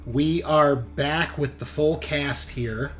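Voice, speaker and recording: A man running a tabletop game, narrating casually and informally, often halting as he thinks aloud. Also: a little high, a little hiss or hum. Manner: halting, thinking aloud as map details are checked. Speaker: game master.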